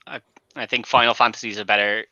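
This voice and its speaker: Very bright, forceful male guest. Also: lowish voice, flat delivery, quick pace, dry recording, muffled recording. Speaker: panel guest. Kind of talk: casual film discussion.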